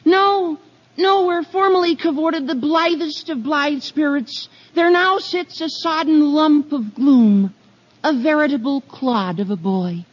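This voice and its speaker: An actor playing an overwrought, bookish high school boy with a cracking adolescent voice and grandiose wording, in 1950s radio comedy style. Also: a little slow. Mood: gloomy. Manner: florid, grandiose big-word delivery. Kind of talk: 1950s radio sitcom acting. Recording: dull old 1950 radio broadcast.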